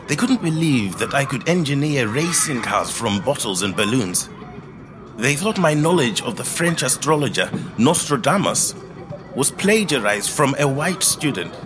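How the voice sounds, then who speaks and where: An actor playing a reflective middle-aged Zimbabwean man telling his life story in a measured, earnest monologue. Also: mid-range voice, big pitch swings, noisy background, natural voice. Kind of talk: scripted autobiographical monologue, storytelling.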